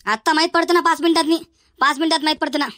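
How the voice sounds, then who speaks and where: A man voicing an exasperated village schoolteacher in a comedy cartoon, hectoring in a Varhadi Marathi accent through a pitched-up effect. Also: steady tone, rapid-fire, pushed and bright, slight background noise. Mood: triumphant scolding. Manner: hectoring, repetitive, threatening.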